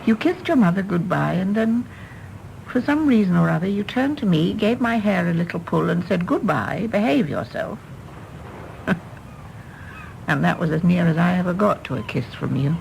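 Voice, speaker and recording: Middle-aged English mother, wistful and genteel, low voice with expressive swings, radio drama. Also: vocal fry, low-quality recording. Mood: wistful, regretful. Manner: measured, genteel, wry. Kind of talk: radio play acting. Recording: faint background noise and ambience.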